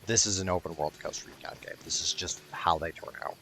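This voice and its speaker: A man's voice: low-pitched, brisk, pushed and bright, a little hiss or hum. A man hosting a gaming video with casual, rambling, mildly profane unscripted commentary.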